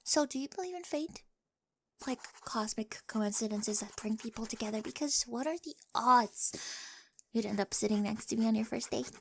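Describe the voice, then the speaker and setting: Woman playing weird high school girl, high voice, crackly fry, awkward, eager rambling, whispery dips, ASMR roleplay. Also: normal speed, fairly bright tone. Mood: playful, confiding. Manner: rambling, eager, often dropping into breathy whispers. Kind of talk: scripted ASMR roleplay, addressed to the listener. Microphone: close, dry studio-style microphone.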